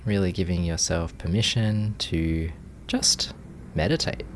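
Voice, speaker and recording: A man leading a guided meditation, giving gentle, calm instructions in measured phrases with long pauses. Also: sits low, a little hiss or hum. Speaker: meditation teacher.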